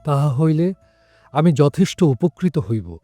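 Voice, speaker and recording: A man's voice: fairly high pitch, expressive, slow, warm tone, dry recording, studio quality, faint music behind. A man playing a selfless, principled captive in an audio drama, formal and resolute. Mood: resolute, earnest. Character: selfless, principled male captive, dignified and grateful. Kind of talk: Bengali audio drama acting.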